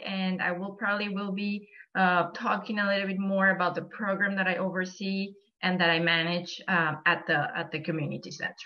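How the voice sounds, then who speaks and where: Venezuelan woman presenting over video call. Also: mid-range voice. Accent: Venezuelan Spanish. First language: Spanish. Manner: friendly, many "um"s, explaining slide by slide. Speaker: guest speaker. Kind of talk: online presentation to a church group. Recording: muffled video call audio.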